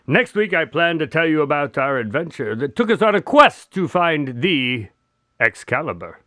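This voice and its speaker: Skeptical doc sidekick in a radio play, wry n exasperated, kinda high lively voice. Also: male voice, no echo, studio quality. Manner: wry, measured storyteller. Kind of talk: radio drama acting.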